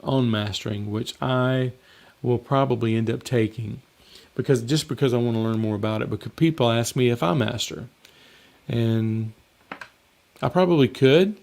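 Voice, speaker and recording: Gravelly, slightly hoarse man chatting. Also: medium pitch, normal speed, darkish tone. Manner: rambling and conversational, with frequent fillers and restarts. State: slightly hoarse, losing his voice. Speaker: mixing coach and show host. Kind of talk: casual live stream Q&A.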